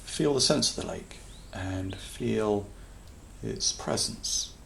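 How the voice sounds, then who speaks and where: Really slow gravelly guy doing a calm guided meditation, long pauses, soothing, soft bird and nature sounds behind. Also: lowish voice, slight background noise. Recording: nature ambience with birdsong behind voice. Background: natural sounds, birds.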